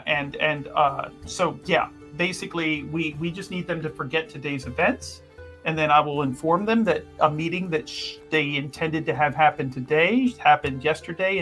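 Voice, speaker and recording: A man playing a smooth-talking schemer in a tabletop game, persuading in a halting, wheedling, euphemistic way. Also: fairly high pitch, fairly bright tone.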